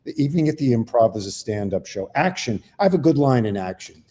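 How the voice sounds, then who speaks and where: A man's voice: medium pitch, sing-song, quick pace, warm tone. An older man, a comedian co-hosting, telling rambling, self-deprecating stories in casual chat. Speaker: comedian co-host.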